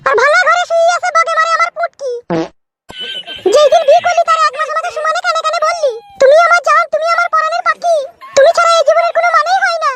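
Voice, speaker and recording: A woman's voice: very high voice, fairly even intonation, quick pace, sharp tone. A woman voicing a foul-mouthed, jealous village wife in a comic cartoon, cursing and nagging. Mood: bitter, scolding. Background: music.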